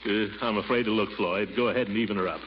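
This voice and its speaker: An actor playing a flustered, pompous middle-aged uncle in 1940s radio comedy style, hesitant and blustering. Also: male voice, on the low side, fairly even intonation, quick pace, lightly acted. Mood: nervous. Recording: muffled old radio recording, faint noise.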